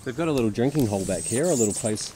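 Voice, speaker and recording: A man's voice: medium pitch, expressive, rapid-fire, a crowd in the background, low-quality recording. A man in his thirties chatting casually as a travel vlogger, talking to a handheld camera. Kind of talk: casual vlog chat. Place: outdoors, on boat and nature trail. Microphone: handheld camera at arm's length.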